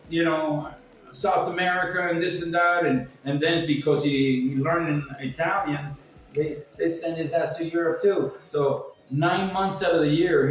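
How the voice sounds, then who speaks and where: Older man, very echoey, rambling storyteller. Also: mid-range voice. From Cuba. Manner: rambling, salty, acts out quoted remarks. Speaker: speaker telling personal stories. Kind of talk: casual anecdotal talk. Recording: echoey room, distant mic, faint music.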